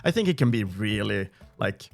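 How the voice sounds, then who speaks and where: A man's voice: lowish voice, sing-song, moderate pace, creaky. A man hosting a video game music podcast over a remote call, chatting casually, laughing often and restarting his sentences. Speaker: podcast host. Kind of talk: casual interview chat.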